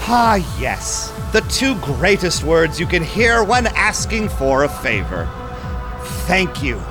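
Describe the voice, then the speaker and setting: A shady vampire businessman for an audio drama. His voice is high for a man and swings widely in pitch, measured and commanding, with clear music behind him. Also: very animated. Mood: smug, pleased. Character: smooth, shady vampire businessman who owns a nightclub.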